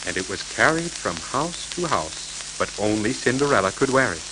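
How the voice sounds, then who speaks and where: Animated storyteller on a noisy old record. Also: medium pitch, normal speed. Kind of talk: scripted fairy-tale narration for children. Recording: noisy crackling 1940s children's 78rpm record.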